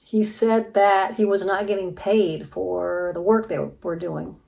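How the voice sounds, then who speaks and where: A woman's voice: fairly low pitch, fairly even intonation, slow, creaky, mellow. A woman giving brief, casual, somewhat hesitant answers as a player in an interactive mystery.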